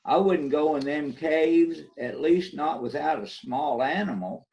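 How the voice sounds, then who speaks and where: A man's voice: fairly high pitch, measured pace, a little mellow, low-quality recording. A man playing a plainspoken, folksy small-town lawman in an amateur readers theater mystery. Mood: folksy, skeptical. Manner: plainspoken, countrified turns of phrase.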